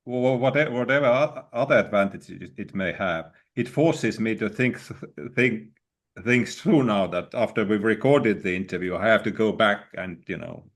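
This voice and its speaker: Man interviewing, halting and stumbling. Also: on the low side. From Estonia. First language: Estonian. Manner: halting, stumbling restarts, laughs easily. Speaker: interviewer, newspaper editor. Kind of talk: casual conversational interview.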